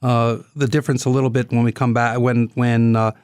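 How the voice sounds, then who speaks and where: A man hosting a business radio talk show in a studio, talking in a halting way with fillers and restarts. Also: mid-range voice, level tone, a little mellow, clean tone, no room sound.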